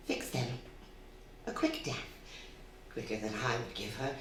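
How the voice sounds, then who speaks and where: In a cavernous, distant-sounding hall, a bloodthirsty female spirit from a radio play. Her voice is low and slow, menacing and taunting as she coaxes. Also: sing-song, exaggerated voice. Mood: taunting, urging. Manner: menacing, coaxing, cackling, drawn-out theatrical delivery. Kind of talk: stage radio drama acting. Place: theatre stage before a live audience. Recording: very echoey hall, distant, faint noise.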